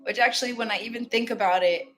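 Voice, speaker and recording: A woman's voice: a little high, quick pace, a bit bright. A woman from Ghana hosting a casual motivational self-help talk, candid and reflective, chatting directly to her viewers. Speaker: spiritual self-help host.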